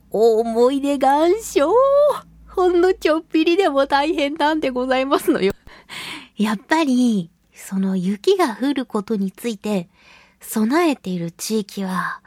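A woman with a high, slightly husky voice hosts a casual radio show. She chats playfully and takes her time, speaking at a slow pace over background music. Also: a little mellow, no echo, clean studio sound. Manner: playful, chatty, laughs often. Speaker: radio show host and voice actress. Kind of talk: casual solo radio talk.

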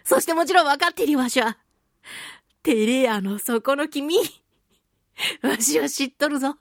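A woman hosting a solo radio talk show in a casual, playful and theatrical way, giggly and swooping between exclamations and low asides, close on a studio microphone. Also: moderate pace, no room sound. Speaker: radio show host, voice actor.

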